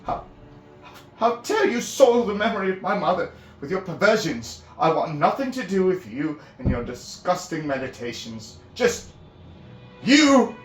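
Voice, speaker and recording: A man voicing an enraged, defiant young man in a fantasy story, shouting in clipped, disgusted words. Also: high-pitched, very animated, a little slow, far from the mic, pitched above the performer's own voice. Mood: furious. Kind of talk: dramatic fantasy storybook reading. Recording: roomy echo, music behind.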